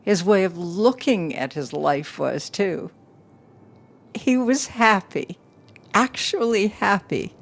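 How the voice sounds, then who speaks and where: A woman's voice: medium pitch, lively intonation, slow pace, vocal fry, heavily acted. An actress playing a self-possessed, grieving businesswoman, telling her story reflectively in an intimate audio play monologue. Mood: wistful, reflective.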